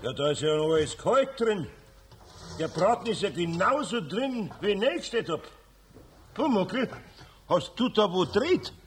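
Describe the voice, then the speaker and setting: A man playing a kindly, grumbling older Bavarian master carpenter with a husky, gravelly voice in a children's radio play. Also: high voice, big pitch swings, moderate pace, slight background noise. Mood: vexed.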